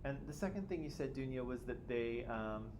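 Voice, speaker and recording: A man's voice: mid-range voice, expressive, normal speed. A man teaching a class, joking with students and asking questions, often tagging "right?" onto his points. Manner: jokey, self-teasing, checks in with "right?" constantly. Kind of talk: classroom discussion. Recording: noisy classroom audio.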